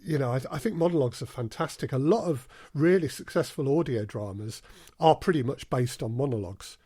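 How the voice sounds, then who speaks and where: A man's voice: medium pitch, expressive, brisk, mellow, breathy edge. A man speaking as a podcast guest and audio drama producer, chatting in a thoughtful, slightly hesitant conversational way. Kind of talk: casual interview chat.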